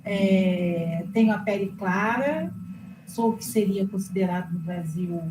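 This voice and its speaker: Woman teacher, relaxed slow pace, casual chat; echoey room with noise. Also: medium pitch. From Brazil. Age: older. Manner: slow, chatty, frequent filler tags.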